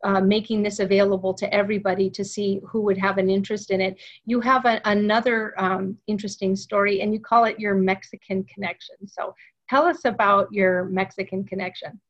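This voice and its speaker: A woman hosting a sales interview over a video call from her home office, speaking with animated enthusiasm. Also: mid-range voice, fairly quick, highs cut off. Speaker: webinar host. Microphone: webcam video call.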